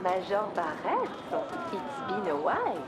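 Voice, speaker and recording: A woman's voice: mid-range voice, huge pitch swings, a little slow. A woman playing a flirtatious, teasing cabaret lady in a game. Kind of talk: dramatic video game dialogue. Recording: loud music and crowd behind.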